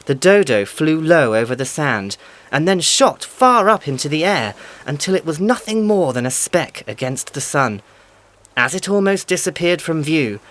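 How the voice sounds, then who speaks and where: Lively male storyteller. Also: fairly high pitch, slight background noise. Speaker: narrator. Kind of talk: scripted storybook narration.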